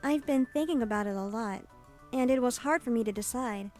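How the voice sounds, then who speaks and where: A woman's voice: fairly high pitch, brisk, a little mellow, lightly acted. A woman playing a young, indecisive love interest in a visual novel. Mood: apologetic, hesitant. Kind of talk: visual novel character acting. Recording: music behind the voice. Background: game music.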